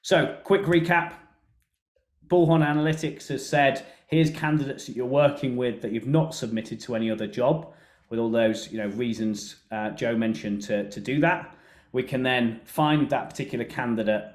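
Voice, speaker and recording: A man's voice: medium pitch, a little mellow. Man presenting a webinar, roomy echoey sound. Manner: conversational, explanatory. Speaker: software company presenter. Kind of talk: webinar product walkthrough.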